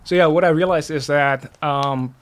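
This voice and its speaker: A man who grew up in the Netherlands, speaking with a Dutch accent as an interview guest, casually explaining and telling stories with pauses. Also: on the high side, a crowd in the background. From Netherlands, born in Russia. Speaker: podcast guest.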